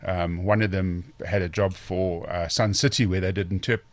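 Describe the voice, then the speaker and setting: Man from South Africa, low voice, dry sound, plain explanatory talk. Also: steady tone, normal speed, dry recording. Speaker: school representative. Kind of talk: podcast interview guest.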